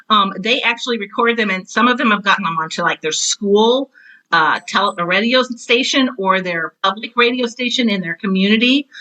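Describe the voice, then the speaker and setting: A woman's voice: medium pitch, sharp tone, rough recording. A middle-aged American woman being interviewed, chatting enthusiastically and with self-deprecating asides, like a teacher telling her own stories. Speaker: podcast guest, teacher and author. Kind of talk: casual interview chat.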